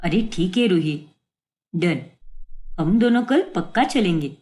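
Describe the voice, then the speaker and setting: A woman voicing an easygoing, affectionate young man with a casual, reassuring manner in an animated story. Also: lowish voice, slow, pitched below the performer's own voice. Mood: puzzled, agreeable. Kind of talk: animated moral story acting.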